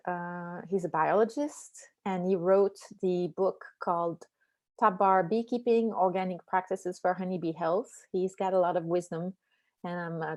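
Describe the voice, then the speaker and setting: A woman's voice: lowish voice, gravelly. Middle-aged French woman, accented English, easygoing presenter over a computer mic. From France. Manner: relaxed, many ums and uhs. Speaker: beekeeping presenter. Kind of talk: online slide presentation.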